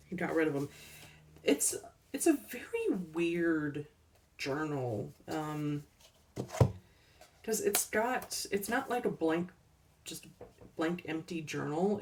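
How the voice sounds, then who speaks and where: A woman casually showing off her thrift store finds, unhurried and chatty with ums and little laughs, her voice a bit worn as she is losing it. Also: fairly low pitch, a little mellow, far from the mic. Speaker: collecting channel host. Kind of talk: casual thrift haul chat.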